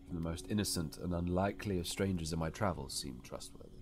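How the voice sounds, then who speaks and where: A man's voice: deep voice, quick pace, over music, natural voice. A man playing a weary, solemn ancient king with grave, formal speech in an audiobook. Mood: grave, trusting. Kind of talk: dramatised audiobook dialogue.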